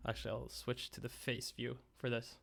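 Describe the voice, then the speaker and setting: A young man hosting a casual game-creation livestream from home on a headset, slightly raspy after being ill. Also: mid-range voice, moderate pace. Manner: relaxed, rambling, talks to chat.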